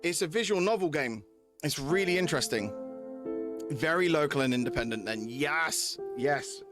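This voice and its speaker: A man's voice: fairly high pitch, expressive, normal speed. A man chatting casually to viewers as a game streamer, informal and sweary, often repeating himself. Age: adult, parent of young kids. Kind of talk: casual livestream chat with viewers. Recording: music playing behind the voice. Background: clear background music.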